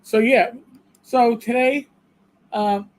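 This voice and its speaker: Slow-talking man in his fifties hosting. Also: very high-pitched, steady tone, poor audio. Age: 50s. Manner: rambling and self-deprecating, with halting restarts. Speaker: livestream co-host. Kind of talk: casual chat.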